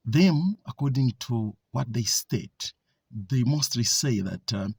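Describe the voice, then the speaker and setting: A man's voice: mid-range voice, vocal fry, husky, dry recording. A man from Zambia with a Zambian accent, giving an informal talk in a hesitant way, with many fillers and restarts.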